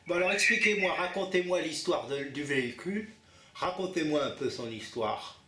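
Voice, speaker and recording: A man's voice: fairly high pitch, lively intonation, normal speed, sharp tone. Insistent man in an echoey room. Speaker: prank caller posing as a car buyer. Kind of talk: improvised prank phone call. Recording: roomy echo, faint noise.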